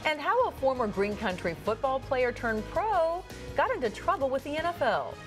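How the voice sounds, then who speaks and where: A woman anchoring a local evening newscast, delivering updates and breaking news in a steady, measured way. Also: high voice, music in the background. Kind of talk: live local newscast reading.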